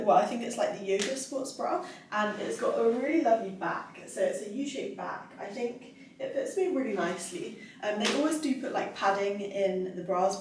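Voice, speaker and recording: A cheerful young woman chats through a clothing haul, laughing often and filling pauses with "um" and "like." Her voice sits at an ordinary middle pitch. It bounces around a bare, very echoey room, picked up from a camera a few steps away. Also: a little mellow, distant mic, slight background noise. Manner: bubbly, giggly, chatty with many fillers. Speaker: fitness vlogger. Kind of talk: casual try-on haul chat. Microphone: camera across the room.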